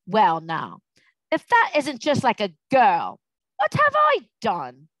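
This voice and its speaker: Woman as a young boy, anxious, pleading, quick to take offence, storybook character, lively intonation, dry sound. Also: a little high, no echo. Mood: indignant. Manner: pleading, exclamatory.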